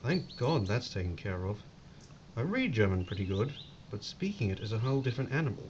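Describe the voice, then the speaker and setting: An actor playing a wry, courteous American army captain with an American accent in an audio drama. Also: male voice, lowish voice, big pitch swings, brisk. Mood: dry, measured. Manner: wry, polite. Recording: dull lo-fi recording with faint noise.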